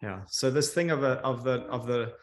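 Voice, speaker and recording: A man's voice: medium pitch, moderate pace. A man moderating a panel over a video call, speaking politely with hedges and fillers.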